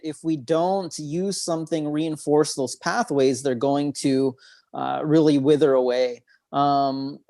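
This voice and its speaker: A man, a memory expert, explaining his ideas in a podcast interview in an earnest, clear way. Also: fairly high pitch, darkish tone, dry recording, studio quality.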